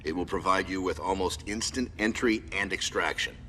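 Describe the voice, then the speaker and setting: An actor playing a stern military commander in a video game, giving formal, measured and authoritative mission briefings. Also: male voice, low voice, bright, forceful tone, slight background noise. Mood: grave, authoritative. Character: stern male military commander briefing a special forces soldier. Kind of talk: scripted game cutscene acting and mission guidance.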